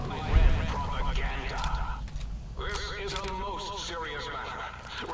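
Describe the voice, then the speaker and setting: An actor playing a deranged, pompous school principal in a video game, making theatrical, deliberate announcements over an echoing loudspeaker. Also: male voice, fairly high pitch. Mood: stern menace. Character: deranged, pompous male mad-scientist high school principal. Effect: loudspeaker public-address echo. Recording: echoing, distant, very noisy PA sound.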